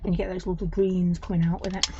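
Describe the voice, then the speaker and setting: Chatty craft demonstrator, woman with a low, croaky voice, muffled lo-fi stream. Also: level tone, very fast. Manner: chatty, talks viewers through each step. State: slightly croaky voice. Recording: dull lo-fi stream with noise.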